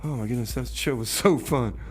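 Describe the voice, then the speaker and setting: A man hosting a music radio show, rambling casually in a folksy, halting way full of exclamations. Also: medium pitch, slight background noise. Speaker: radio host.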